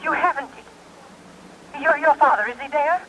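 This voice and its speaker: An actress playing an anxious, determined woman, halting yet insistent, in old radio drama style. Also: high-pitched, bright, forceful tone. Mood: urgent pleading. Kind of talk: 1950s radio drama acting. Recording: muffled, hissy vintage radio recording.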